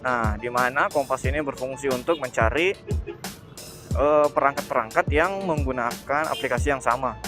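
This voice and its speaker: A man's voice: mid-range voice, moderate pace, music in the background. A man giving a casual vlog explanation, hesitant and often correcting himself. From Indonesia. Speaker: motovlogger, host. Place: on a motorbike, outdoors.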